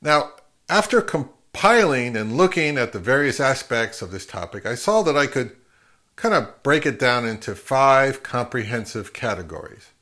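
A middle-aged man hosting an advice vlog, speaking to camera in an emphatic way with deliberate pauses. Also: mid-range voice, very animated, bright, forceful tone. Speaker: host of an audio gear channel. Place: home listening room, later an open grassy field.

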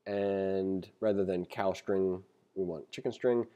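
A man giving a casual read-along programming tutorial, reading aloud and thinking out loud with informal explanatory asides. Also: deep voice, steady tone, slow pace, lo-fi sound. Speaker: programming instructor. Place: screen-recorded voice-over.

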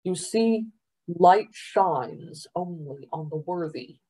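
Audiobook ruler, woman narrator acting: stately man in authority, smooth, mellow, measured, condescending. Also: animated, exaggerated voice, rough recording. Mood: solemn. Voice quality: deep, smooth. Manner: measured, pompous. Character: grave, commanding male governor, smooth and condescending.

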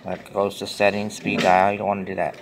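A man explaining something step by step in plain, halting, repetitive short phrases, like a simple tutorial. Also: on the low side, completely flat delivery. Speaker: tutorial presenter. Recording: noisy handheld phone recording with clicks.

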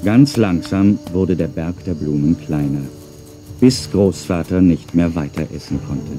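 A man's voice: low-pitched, measured pace, dark tone. A man reading scripted narration for a children's audio play, as a storyteller. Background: music.

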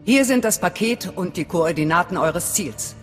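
Eine Schauspielerin als strenge, zurückhaltende Anführerin einer Widerstandszelle in einem Videospiel, befehlend und sachlich. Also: female voice, on the low side, lively intonation, moderate pace, natural voice. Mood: commanding. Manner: commanding, matter-of-fact. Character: stern, guarded female resistance cell leader. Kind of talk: dramatic video game dialogue in German. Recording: long hall reverb. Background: background music.

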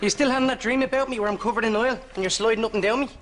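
A man's voice: very high-pitched, fairly even intonation, racing, very noisy. An actor playing a cheeky, lecherous, dopey Irish man in a broad sitcom style. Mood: cheeky, flirty. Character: cheeky, lecherous, dopey male family friend. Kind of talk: broad studio sitcom acting.

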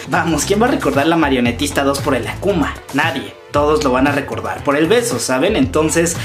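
A man in his twenties with a Mexican accent, chatting casually as a YouTuber commenting for fans and reading comments aloud. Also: fairly high pitch. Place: small room indoors. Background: background music.

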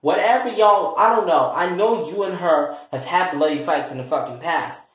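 Guy doing a sassy fed up meddler, highish, scolding and sweary, comedy skit, big echo. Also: lightly acted. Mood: exasperated scolding. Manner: emphatic, drawn-out, scolding, sweary. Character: sassy, exasperated, meddling peacemaker who eggs on feuds. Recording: very echoey, reverberant room.

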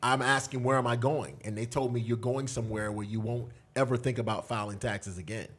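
Husky-voiced man telling stories. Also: mid-range voice, a little fast. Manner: animated, gestures a lot while telling prison stories. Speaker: podcast guest. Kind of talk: casual storytelling. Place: small studio with foam panels. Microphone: boom mic on table.

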